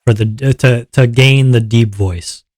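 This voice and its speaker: A man's voice: a little slow, no echo, clean studio sound. A man streaming live, explaining his event's rules to chat in a casual, upbeat way and checking in with viewers often. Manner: relaxed lower voice after a viewer redeem.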